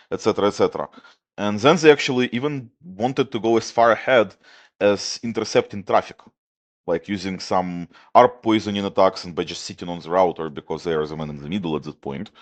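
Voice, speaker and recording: Low-voiced man with a Russian accent. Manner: explains plainly in a steady way, with some filler "like". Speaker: guest researcher. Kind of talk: casual tech podcast chat.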